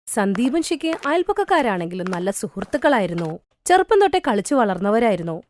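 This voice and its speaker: A woman's voice: medium pitch, sing-song, a little fast, slight background noise. A woman narrating a scripted children's story as a storyteller.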